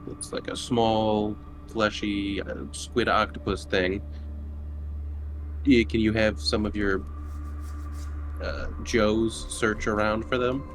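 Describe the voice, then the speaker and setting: A man's voice: lowish voice, flat delivery, slow pace, long pauses. A man playing a tabletop roleplaying game, chatting informally with a hesitant, dry delivery. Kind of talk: casual in-character game chat. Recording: clearly noisy background.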